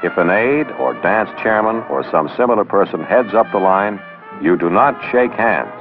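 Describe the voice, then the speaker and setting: Deep-voiced man narrating an old training film. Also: animated, moderate pace. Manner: instructive, wry and sarcastic asides. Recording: dull old film soundtrack with music. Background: background music.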